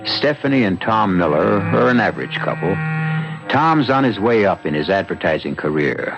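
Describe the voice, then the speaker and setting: A man's voice: low-pitched, very animated, normal speed, dull audio. A man with an American accent hosting and narrating a radio drama in a scripted, theatrical style. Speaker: host and narrator. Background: music.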